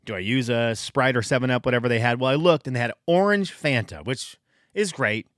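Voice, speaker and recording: A man in his thirties, from Venezuela, hosts his own podcast and wanders through a long, self-deprecating story with frequent restarts. His voice sits at an ordinary middle pitch. The recording is dry and close, with no room sound. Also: a little fast, dry recording. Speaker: podcast host. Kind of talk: casual solo podcast monologue.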